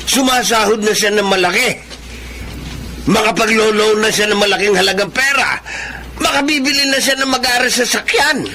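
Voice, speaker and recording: A man's voice: high-pitched, bright, forceful tone, a little hiss or hum, heavily acted, rough recording. A man playing a proud, defensive older father with a Filipino accent and emphatic delivery in a radio drama.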